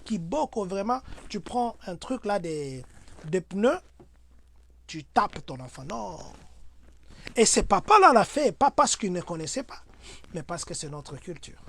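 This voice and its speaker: A man talks through everyday advice for his community. He is earnest and preachy, and his voice jumps to loud exclamations when he makes a point. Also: high-pitched, very animated, unhurried. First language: Swahili. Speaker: community advisor.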